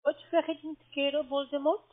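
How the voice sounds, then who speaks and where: High-pitched foreign-accented woman on phone. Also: little pitch movement, brisk. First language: not German. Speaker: call center account agent. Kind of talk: scripted phone sales call. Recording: tinny narrow phone line.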